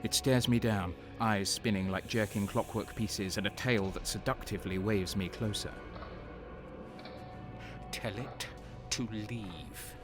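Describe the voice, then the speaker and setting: Man acting a low, brooding deserter soldier. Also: steady tone, natural voice. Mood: horrified. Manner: slow, brooding, breaking into whispers. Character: cynical, faithless deserter soldier, sadistic and on edge. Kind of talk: audio drama first-person narration and dialogue. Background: music.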